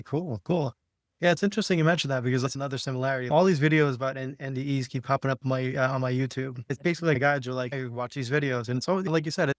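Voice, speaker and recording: A man's voice: medium pitch, dry recording, clean studio sound. A man co-hosting a podcast, talking informally in a casual conversation and often checking in with "right?". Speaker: podcast co-host.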